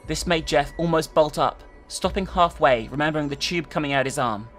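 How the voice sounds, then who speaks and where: A woman's voice: deep voice, a little fast, faint music behind. A woman giving a theatrical, emphatic dramatic reading of a story, as a host who also comments casually. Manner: theatrical, emphatic, sweary in asides.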